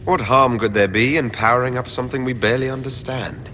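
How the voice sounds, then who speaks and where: An actor playing a wry, skeptical, reluctant hero in a fantasy game, dry and questioning. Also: male voice, medium pitch, steady tone, fast, pushed and bright, soft background music, lightly acted.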